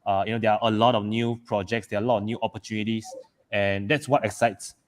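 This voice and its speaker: A man's voice: fairly low pitch, steady tone, fast. A man from Malaysia telling his story casually on a video call as a panel speaker, with many fillers and restarts.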